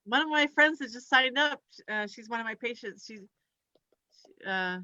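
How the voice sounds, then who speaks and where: A woman's voice: fairly high pitch, moderate pace, crowd noise. A woman chatting casually as a guest on a video call. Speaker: guest, chiropractor. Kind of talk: casual chat. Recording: dull, lo-fi call audio.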